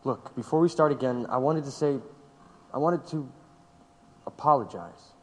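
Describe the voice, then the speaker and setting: Casting: actor as gentle male agent, placating and hesitant; medium pitch, long reverb, radio drama. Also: moderate pace, natural voice. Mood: apologetic. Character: apologetic male federal agent questioning gently. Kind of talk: audio drama acting.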